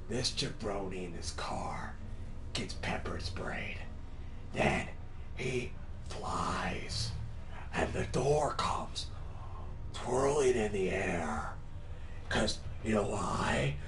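A man voicing a boastful, crude, dim-witted parody Batman in comic game commentary, with a forced gravelly voice that breaks into shrill yells. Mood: smug, relaxed. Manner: bragging, sweary, childish, theatrical. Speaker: gaming video performer in character. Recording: roomy echo with light background noise.

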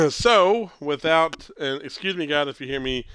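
A man in his thirties hosting a casual gameplay stream, stuffy with a cold and clearing his throat, rambling and correcting himself as he talks. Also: fairly high pitch, animated, unhurried, a bit bright, no echo, rough recording. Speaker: streamer host.

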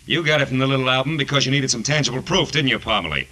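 An American actor playing a sardonic, hard-boiled private detective in 1940s radio noir, with clipped, wisecracking delivery. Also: male voice, mid-range voice, rapid-fire, sharp tone. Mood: commanding. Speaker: lead actor and narrator. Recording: noisy vintage 1940s radio recording.

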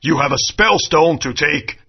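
A man's voice: a little high, animated, a little slow, slightly rough, heavily acted. An actor voicing a regal, fatherly dinosaur king in a video game. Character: proud, kind old dinosaur king and father. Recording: dull lo-fi game audio.

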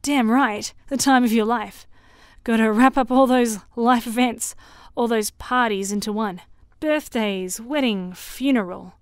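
A woman voicing a drunk, bitter young man in his late twenties who mocks his family loudly. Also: fairly high pitch, unhurried, hardly any pauses, smooth, no echo, studio quality, close to an everyday voice. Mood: mocking. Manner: loud, mocking, sarcastic.

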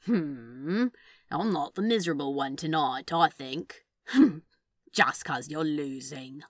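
A woman's voice: sits low, slow pace, no echo, studio quality. A woman voicing a teasing, sardonic male demon in a fan fiction reading, with a sly, casual drawl.

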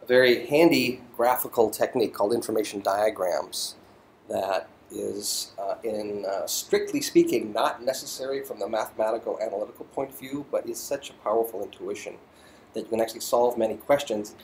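A man's voice: fairly low pitch, a little fast, slight background noise, low-quality recording. A middle-aged man giving a physics lecture as a voiceover to slides, thoughtful and full of ums and restarts.

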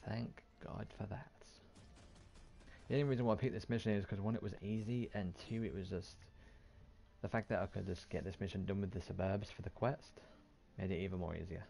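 Low, gravelly man commentating on a game. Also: steady tone, a little fast, dark tone. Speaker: gaming YouTuber. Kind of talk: casual gameplay commentary.

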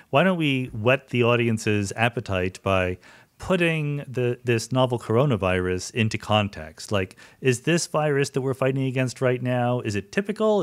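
A middle-aged man hosting a podcast, asking questions in a curious, enthusiastic conversational way. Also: mid-range voice. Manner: curious, enthusiastic, quick asides. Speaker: podcast host. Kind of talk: conversational interview.

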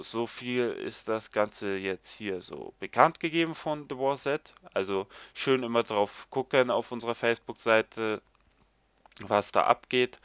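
A young man with a low, smooth voice hosts a casual gaming announcement while a little stuffed up from a cold. He rambles along with plenty of filler words. The close desktop mic sounds dry, with no room around him. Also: fairly bright tone, no room sound. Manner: rambling, many fillers like quasi and sprich. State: slightly congested with a cold. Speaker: gaming site video host. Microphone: desktop mic, voice-over of screen capture.

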